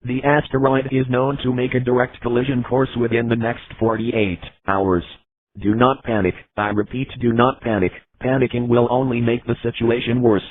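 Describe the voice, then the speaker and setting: A man's voice: mid-range voice, flat delivery, moderate pace, dry recording, phone-quality sound. A man reading a scripted emergency broadcast announcement as an alert announcer. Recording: very noisy broadcast audio.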